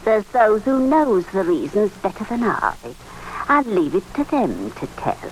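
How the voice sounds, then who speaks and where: Insinuating village landlady, medium pitch, animated, noisy vintage radio sound. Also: a little slow. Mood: ominous, gossipy. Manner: folksy, insinuating. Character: gossipy, ominous village innkeeper woman. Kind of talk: old-time radio drama acting.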